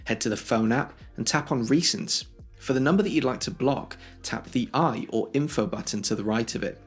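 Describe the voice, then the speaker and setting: A man's voice: medium pitch, fairly quick, husky, soft background music. A man hosting a tech tutorial, explaining things step by step in a conversational way. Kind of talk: instructional how-to narration for video.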